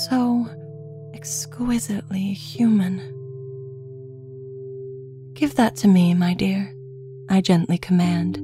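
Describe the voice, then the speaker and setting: A woman reading a literary first-person tale in a measured, lyrical, deliberate manner. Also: medium pitch. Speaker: story narrator. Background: music.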